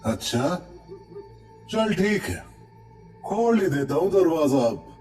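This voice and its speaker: Man acting a slow-drawling, brash village man. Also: on the high side, big pitch swings, a little mellow, recorded from a distance. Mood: taunting, mocking. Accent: Haryanvi-Rajasthani rural Hindi. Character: rustic village man, brash, fearless, taunting. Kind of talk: Hindi horror audio drama acting. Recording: music bed under the voice.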